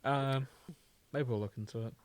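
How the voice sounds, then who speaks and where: A man's voice: mid-range voice, fairly even intonation, normal speed, clean tone, no echo. A man co-hosting a podcast in casual chat, telling stories with mock quotes. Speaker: podcast co-host.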